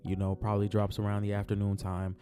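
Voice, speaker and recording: A man talking casually about basketball in his own vlog, his voice raspy from just waking up, laid-back and repeating himself as he speaks from the heart. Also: on the low side, completely flat delivery, fast talker, warm tone, soft background music. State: raspy morning voice. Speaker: sports vlogger. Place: on his way to work.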